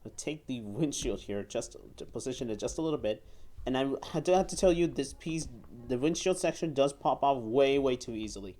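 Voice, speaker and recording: A young man giving step-by-step hands-on instructions as a toy reviewer, haltingly, often repeating and correcting himself. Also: medium pitch, animated, dark tone. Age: young adult. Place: small room at home, indoors.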